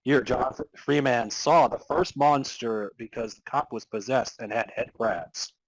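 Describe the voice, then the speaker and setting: A man's voice: mid-range voice, fairly even intonation, a little slow, no echo, poor audio. A man reading amateur fan fiction aloud as narrator, in a mock-serious dramatic storytelling style. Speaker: podcast host reading as narrator.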